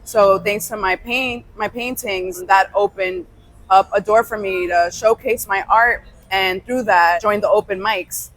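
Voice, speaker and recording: A young woman from New York, Spanish her first language, talks through her path as a guest in an easy, storytelling way. Her voice sits at an ordinary middle pitch for a woman. She laughs readily between thoughts. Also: measured pace, a little hiss or hum, low-quality recording. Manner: relaxed storytelling, laughs easily. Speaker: guest musician. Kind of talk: casual interview talk.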